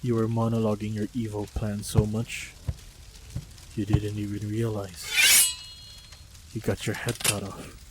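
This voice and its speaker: A man with a fairly low voice plays a calm, protective hunter in an audio roleplay. His delivery is slow and composed, with little melodic movement. Faint ambience runs behind him. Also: noisy background. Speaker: voice actor playing a monster hunter. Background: faint sound-effect ambience.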